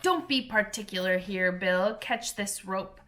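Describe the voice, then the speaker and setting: A woman doing a bossy, excited crowd of little animal workers. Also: medium pitch, measured pace, slight background noise, close to an everyday voice. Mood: bustling. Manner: shouting orders, excited and bustling.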